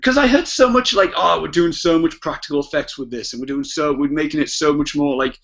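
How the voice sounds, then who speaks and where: A man's voice: a little high, fairly quick, vocal fry, a bit bright. A British man giving casual opinions as a podcast panelist, often quoting his own thoughts and qualifying them. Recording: dull lo-fi podcast audio.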